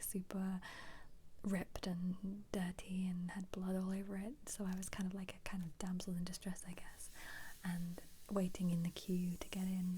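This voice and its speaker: A British woman speaking in a very soft, breathy whisper, giving casual, gentle ASMR commentary with rambling asides close to the microphone. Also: medium pitch, slight background noise. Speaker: ASMR creator. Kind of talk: soft-spoken ASMR book flip-through commentary.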